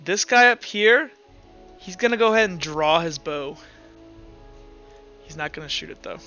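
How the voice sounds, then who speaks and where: A man's voice: on the high side, lively intonation, moderate pace, bright, forceful tone, highs cut off. A man narrating a tabletop roleplaying game as game master, in a casual, informal way. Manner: casual, informal, sound-effect-laden descriptions. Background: music.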